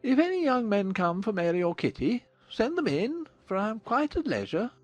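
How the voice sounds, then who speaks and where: Older gentleman father played by a man: English, fond, dryly witty, deliberate, expressive range, close dry sound over soft music, period audiobook. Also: high-pitched, no room sound. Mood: amused. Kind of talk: dramatized audiobook acting of a period novel.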